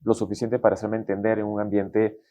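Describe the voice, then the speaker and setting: Peruvian exec, middle-aged, Peruvian Spanish accent, loose colloquial storyteller, mellow voice. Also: male voice, on the low side, fairly quick, dull audio. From Peru. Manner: relaxed, colloquial, acts out quoted dialogue. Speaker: guest executive. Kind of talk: casual interview storytelling.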